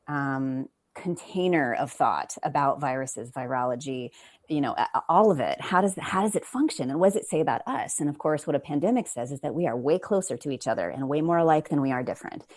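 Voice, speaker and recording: A woman speaking as a playwright on a panel over a video call, expressive and emphatic, with asides and rhetorical questions. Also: low-pitched. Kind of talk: animated conversational panel talk.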